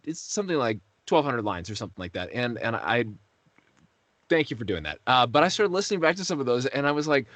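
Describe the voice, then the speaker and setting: Guy being interviewed about his acting work, medium pitch, kinda rambly and searching for words, really dry audio. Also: big pitch swings, fast, a bit bright, no room sound. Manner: rambling, self-deprecating, hesitant while searching for words. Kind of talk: casual interview chat.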